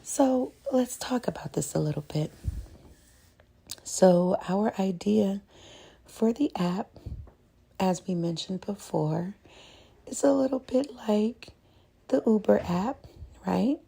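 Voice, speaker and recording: A woman's voice: mid-range voice, expressive, slow, gravelly, pauses often, mellow, slightly rough. A woman giving a casual, chatty and welcoming ASMR voiceover as the host of the channel. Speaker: host and narrator of an ASMR scratch-therapy channel.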